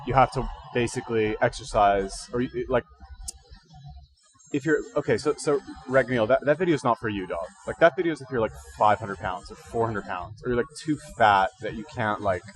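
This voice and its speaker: A man's voice: mid-range voice, fairly quick, slight background noise, rough recording. A man with a slightly congested voice, hosting a casual livestream and rambling through fitness advice in slangy, informal talk. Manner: slangy, informal, thinking aloud. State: recently woken up. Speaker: livestream host giving fitness and diet advice.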